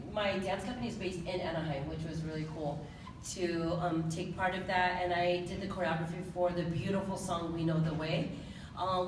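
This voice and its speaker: A woman from the Pacific Islands, a choreographer and dance teacher, talking loudly like a teacher during a live event interview. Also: fairly low pitch. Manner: loud, laughing, teacher-like. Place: large echoey event hall. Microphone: distant handheld phone recording. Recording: echoey hall from far off, noisy.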